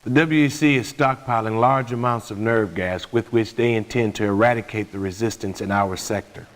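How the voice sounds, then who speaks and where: Man playing a low-voiced, stern colonel. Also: a little hiss or hum, natural voice. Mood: grave briefing. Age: middle-aged. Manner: formal, measured, authoritative. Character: stern, formal middle-aged male military colonel. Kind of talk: video game mission briefing.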